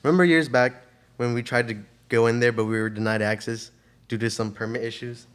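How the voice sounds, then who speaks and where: Guy doing an easygoing treasure hunter pilot for amateur radio theater, regular male pitch, keen on adventure. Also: steady tone, a little fast, fairly bright tone, smooth, close to an everyday voice. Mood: earnest. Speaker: student radio play actor.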